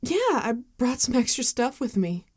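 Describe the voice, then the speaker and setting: A woman playing a caring, apologetic female vampire friend in an intimate ASMR roleplay, speaking gently and hesitantly. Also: fairly high pitch, big pitch swings, husky, no echo, studio quality. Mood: caring, reassuring. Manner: hesitant, gentle, halting with soft sighs. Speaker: ASMR voice actor.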